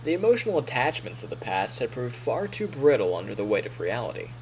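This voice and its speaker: A man reads a visual novel aloud as a streamer, with very animated, swinging intonation and a playful storyteller's delivery. He mixes narration with casual asides, and faint noise sits behind his voice. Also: mid-range voice, quick pace, rough recording.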